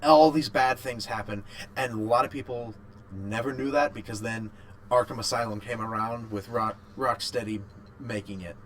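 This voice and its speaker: A man co-hosting a podcast in casual chat, rambling and haltingly repeating his phrases. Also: medium pitch, steady tone. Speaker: podcast co-host. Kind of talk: casual chat about video games.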